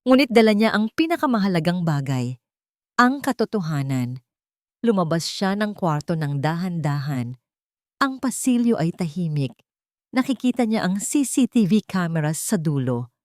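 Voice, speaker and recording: A woman reading a romantic drama story as narrator, in a dramatic, expressive scripted style. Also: fairly low pitch, moderate pace, no echo, clean studio sound.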